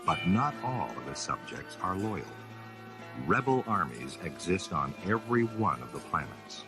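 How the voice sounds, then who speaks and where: An actor as the solemn, portentous narrator of a sci-fi audio drama, reading dramatic scripted narration. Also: male voice, low voice, big pitch swings, unhurried, music in the background. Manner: grand, portentous. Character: solemn epic narrator of a space saga.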